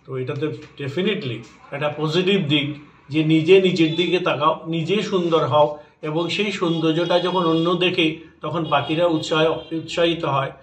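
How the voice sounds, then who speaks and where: A man in his fifties from Tripura, India, making a measured, formal statement as a sports association official at a press conference. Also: fairly high pitch, steady tone. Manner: measured, formal, occasional halting restarts. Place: indoor press room with banner backdrop. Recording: echoey room, voice a little distant.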